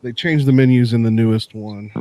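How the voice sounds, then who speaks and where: A man's voice: medium pitch, fairly even intonation, a little fast, dark tone, rough recording. A man in casual chat, explaining streaming settings with many restarts. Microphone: desk mic through a mixer.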